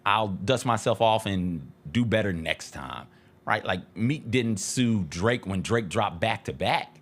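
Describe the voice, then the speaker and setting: Animated American man bantering in slang. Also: mid-range voice. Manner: slangy, emphatic, repeats himself. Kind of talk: casual banter and commentary.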